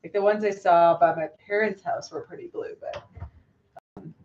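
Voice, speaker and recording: Woman, mid pitch, slightly hoarse, loose rambling chat with fillers; dull lo-fi audio with faint noise. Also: fairly even intonation, normal speed, darkish tone. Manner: rambling, hesitant, many fillers and restarts. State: slightly hoarse after losing her voice. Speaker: lure painter streaming live. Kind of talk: casual live-stream chat while working.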